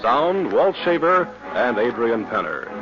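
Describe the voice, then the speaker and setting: Lively 1950s male radio announcer. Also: mid-range voice, measured pace, music in the background. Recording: muffled 1950s radio recording.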